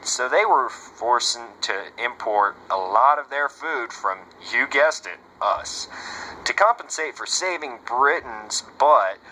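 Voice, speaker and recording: A man's voice: medium pitch, animated, slow, sharp tone. A man playing a brash, slangy 1930s swing-era radio host with a showy, chummy patter, on a noisy amateur recording. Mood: lecturing, chummy. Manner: showy, chummy, slangy, asks rhetorical questions.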